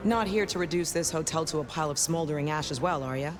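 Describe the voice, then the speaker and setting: An actress playing a sardonic, predatory, flirtatious vampire in a video game, sly and taunting. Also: female voice, low voice, quick pace, music in the background, lightly acted.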